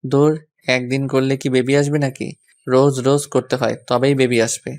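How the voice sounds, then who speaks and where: A man's voice: mid-range voice, level tone, normal speed, darkish tone, natural voice. A man playing a doting young lover who coaxes and teases affectionately in a romantic audio story. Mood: tender, coaxing. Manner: coaxing, affectionate, apologetic. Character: doting, teasing young man soothing his sweetheart.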